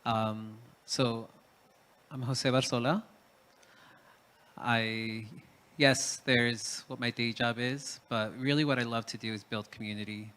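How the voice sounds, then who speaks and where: A man's voice: medium pitch, steady tone, takes it slowly, sharp tone. Hesitant, reflective male panelist. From Peru. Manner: hesitant, with many fillers and restarts.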